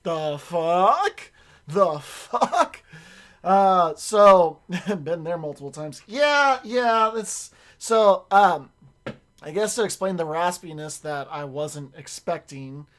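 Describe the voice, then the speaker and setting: Raspy young guy rambling on stream, slightly high voice, keeps restarting his sentences. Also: fairly bright tone. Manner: rambling, self-correcting, swears casually. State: raspy, strained voice. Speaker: streamer and voice actor. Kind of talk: casual stream chat.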